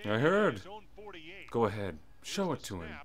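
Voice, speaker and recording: An actor playing a menacing robber's sidekick in a radio drama, with a dry, sardonic, threatening manner. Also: male voice, on the low side, very animated, measured pace, a bit bright. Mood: cool menace.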